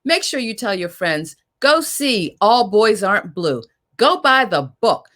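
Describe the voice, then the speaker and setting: A woman's voice: mid-range voice, big pitch swings, unhurried, a bit bright. A woman with a mid-range voice acts as the host of a panel. She moderates informally, speaking directly to guests and audience in a conversational way.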